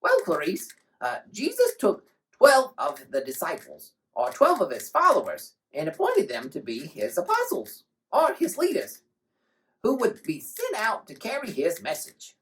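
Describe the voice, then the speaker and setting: A performer voicing a cheerful, excitable puppet host in a scripted children's Bible show, explaining things playfully. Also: mid-range voice, measured pace, exaggerated voice, low-quality recording.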